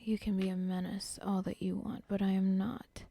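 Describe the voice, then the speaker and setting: A woman's voice: medium pitch, level tone, moderate pace, vocal fry, dark tone. A woman whispering an ASMR roleplay as a cold, controlling female cartel boss who talks in a menacing, dismissive way. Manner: whispering throughout.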